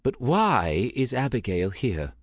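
A man playing a proud, easily affronted young gentleman in an audiobook, with a clipped, formal manner. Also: medium pitch, very animated, slow, slightly rough, no echo, clean studio sound, pitched above the performer's own voice, full character voice. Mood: amiable, puzzled. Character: proud, indignant aristocratic young gentleman, courteous to his mother. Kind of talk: audiobook character acting.

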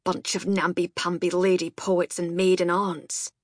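A woman narrator voicing a gruff, grudging Scottish man in charge, with a sharp, barking manner, in an audiobook. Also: lowish voice, level tone, unhurried, dry recording, studio quality. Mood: doubtful, grumbling. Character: gruff, grudging Scottish male boss, head gardener.

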